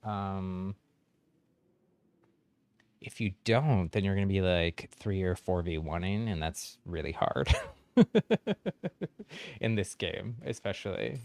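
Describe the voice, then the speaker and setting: A man's voice: sits low, expressive, takes it slowly, gravelly, pauses often, darkish tone, no echo. A man streaming a game, chatting casually with viewers, friendly and chatty with frequent ums and laughs. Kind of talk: casual chat while gaming on stream.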